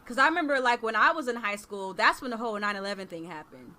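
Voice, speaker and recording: Bright, animated woman in her thirties. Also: on the high side, fast. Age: 30s. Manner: animated and expressive, laughs often, trails off and restarts. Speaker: kindergarten teacher and podcast host. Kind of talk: casual chat.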